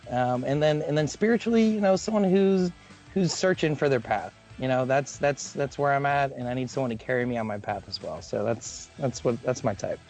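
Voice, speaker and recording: A man's voice: mid-range voice, brisk, a little mellow, faint music behind. Rambling young man with lots of fillers. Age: 20s. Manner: hesitant, rambling with many fillers and restarts. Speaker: contestant on a dating show. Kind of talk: casual chat. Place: basement at his parents' house. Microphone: video call.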